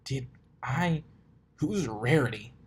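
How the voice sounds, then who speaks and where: A man's voice: medium pitch, animated, takes it slowly, husky, voice pushed up, heavily acted. Man doing a cocky, angry young pegasus stallion. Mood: confused frustration. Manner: defiant, blustering. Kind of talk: amateur storybook character reading.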